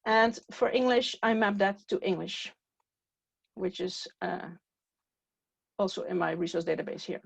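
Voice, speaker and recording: Woman with Dutch roots teaching software. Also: mid-range voice, a little slow, vocal fry, long pauses, breathy edge, no room sound. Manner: step-by-step, with frequent "uh" fillers. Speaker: software trainer. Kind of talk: screen-share tutorial walkthrough. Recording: dull, dry, lo-fi recording.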